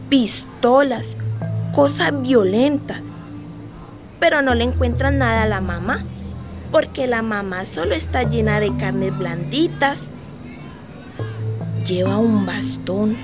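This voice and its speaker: Radio play: wistful eight-year-old girl, childlike and playful, high voice, music clearly underneath. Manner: childlike, simple and repetitive. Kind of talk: radio drama monologue. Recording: music behind the voice.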